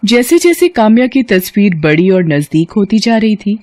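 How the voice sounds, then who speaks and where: A woman narrating a scripted bedtime story in a steady, even manner. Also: medium pitch, moderate pace.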